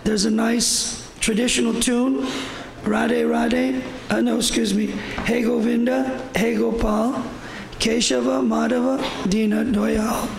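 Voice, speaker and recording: An older man with a hoarse voice giving an informal devotional talk at a temple, rambling and repeating himself. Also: very high voice, level tone, slow, echoey room, slight background noise. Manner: rambling, repetitive, with fillers. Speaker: visiting speaker at a temple. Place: temple hall.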